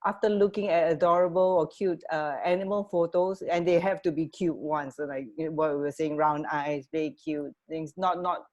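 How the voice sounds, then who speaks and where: A woman co-hosting a radio show in casual chat, halting as she searches for words. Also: low-pitched, steady tone, darkish tone, lo-fi sound.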